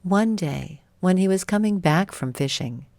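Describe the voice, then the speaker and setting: A woman's voice: on the low side, lively intonation, unhurried, darkish tone, no room sound, studio quality. A woman reading a scripted bedtime story as narrator.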